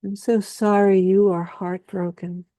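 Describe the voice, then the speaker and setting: A woman speaking a slow, solemn spoken-word lament, with repetitive phrasing and long pauses. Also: mid-range voice, level tone, dark tone, no echo.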